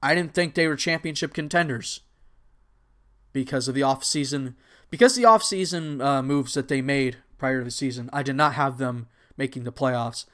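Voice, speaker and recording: A young man in his twenties talks in a loose, unscripted solo podcast style, with a Texan accent. He rambles and corrects himself as he goes, and his voice sits at an ordinary middle pitch for a man. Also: normal speed, a bit bright. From United States. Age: 20s. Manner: rambling, self-correcting, casual. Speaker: podcast host.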